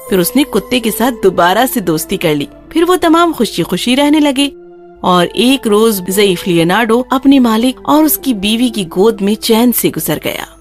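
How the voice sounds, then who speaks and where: A woman reading a scripted children's story as its narrator. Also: a little high. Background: soft music.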